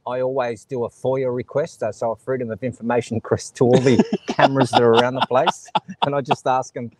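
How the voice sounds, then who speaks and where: A man's voice: medium pitch, flat delivery, fairly quick, darkish tone. A man with an Australian accent hosting a casual conversation, joking, laughing often and reading out viewer comments. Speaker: host. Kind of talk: casual chat.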